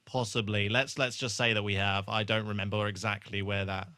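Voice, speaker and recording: A man's voice: on the low side, little pitch movement, brisk, bright, forceful tone, dry recording. A man co-hosting a podcast, talking casually through a TV episode and often thinking aloud in a tentative way. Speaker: podcast co-host.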